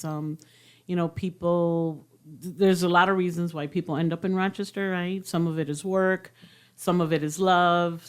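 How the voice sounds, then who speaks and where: A woman's voice: on the low side, smooth. A woman hosting a radio interview, switching between Spanish and English in a chatty, laughing way. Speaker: radio host. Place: radio studio.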